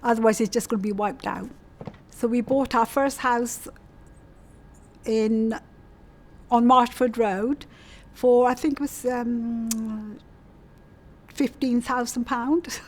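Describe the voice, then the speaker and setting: Older English woman, slightly high voice. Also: steady tone, slow, long pauses. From South West England. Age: 60s. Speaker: community storytelling interviewee. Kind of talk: casual personal reminiscence in an interview.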